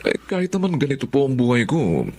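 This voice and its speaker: A man's voice: mid-range voice, very animated, quick pace, a little mellow. An actor playing a meek young writer, a son who answers his family hesitantly and apologetically. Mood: defensive. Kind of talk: audio drama acting.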